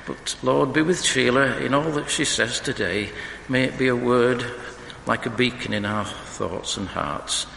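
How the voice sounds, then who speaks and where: Husky man, solemn reader, echoing church with faint noise behind. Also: mid-range voice, a bit bright. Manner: measured, solemn reading. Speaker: scripture reader leading prayer. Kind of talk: reading scripture and prayer.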